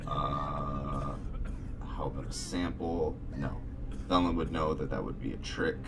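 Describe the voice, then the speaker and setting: A man's voice: extremely low pitch, vocal fry. A man giving casual, musing game commentary as he plays through a roleplay, thinking aloud. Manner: unhurried, musing. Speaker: gamer hosting a roleplay playthrough. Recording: distant mic, very loud background noise.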